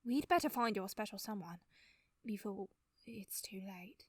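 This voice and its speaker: A woman voicing an earnest, emotional young heroine with dramatic delivery in an animated drama. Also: mid-range voice, a little slow, no echo, clean studio sound, lightly acted. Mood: tender. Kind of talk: dramatic character acting.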